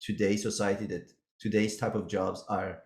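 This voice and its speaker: A man's voice: on the low side, little pitch movement, normal speed, darkish tone, roomy sound. A man hosting a casual business podcast, rambling a little and laughing as he talks. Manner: rambling, laughs while talking. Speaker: podcast host, business owner.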